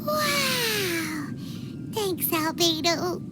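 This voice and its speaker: A tiny, excitable fairy-like companion girl from a video game. The voice is childlike and piercingly high, with lively swings and unhurried pacing. The delivery is exclamatory and demanding. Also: loud music behind the voice, full character voice. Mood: delighted. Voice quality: squeaky, childlike. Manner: speaks of herself in the third person, exclamatory. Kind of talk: animated video game voice acting.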